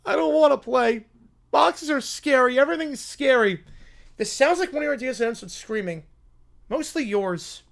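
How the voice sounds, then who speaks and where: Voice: high voice, animated, normal speed, gravelly. A performer voicing a wary, skeptical cartoon child who grumbles reluctant, puzzled objections.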